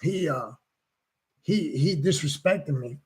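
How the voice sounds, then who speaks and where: Older male host, 60s, husky and slightly high voice, rambling halting storyteller. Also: animated. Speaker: show host. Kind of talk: casual chat.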